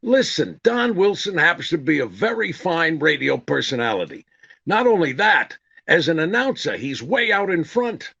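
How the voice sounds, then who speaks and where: An actor playing an exasperated comedian and straight man in a 1930s-style radio comedy, with a raspy voice. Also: on the high side, big pitch swings, normal speed, pushed and bright, dull audio, heavily acted. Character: exasperated vaudeville comedian husband, straight man to his partner.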